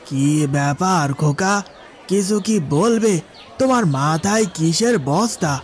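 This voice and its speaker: A man's voice: high voice, lively intonation, slow, slight background noise. Man playing a shrewd older village cake seller. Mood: shrewd, paternal. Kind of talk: cartoon story dialogue.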